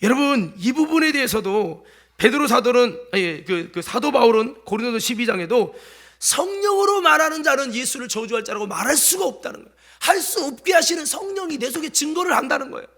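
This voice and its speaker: A male pastor with a light Chungcheong accent, preaching a sermon in church. He swings between loud exhortation and hushed asides and keeps quizzing the congregation. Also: extremely high pitch, expressive, brisk. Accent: light Chungcheong Korean.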